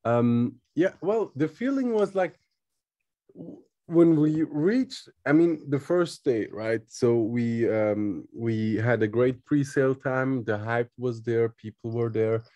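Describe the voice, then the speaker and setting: Man, English, mellow tone, halting delivery, casual interview guest. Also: mid-range voice, expressive, highs cut off. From Switzerland. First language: not English. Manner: halting, searching for words. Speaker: guest, crypto project team member.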